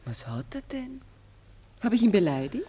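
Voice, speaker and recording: An actress playing a flirtatious, forgetful, lighthearted circus artiste in a German radio play, in a theatrical, effusive manner. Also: female voice, mid-range voice, sing-song, normal speed, dark tone, slight background noise, lightly acted. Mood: puzzled.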